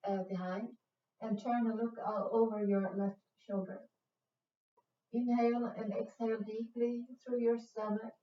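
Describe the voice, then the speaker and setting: A woman from Sweden with a Swedish accent, a native Swedish speaker, teaching a yoga class in English, speaking slowly and patiently with frequent hesitations. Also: fairly high pitch, reverberant, far from the mic, highs cut off. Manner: slow, patient, with many hesitations. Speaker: yoga instructor. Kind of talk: guided yoga class instruction.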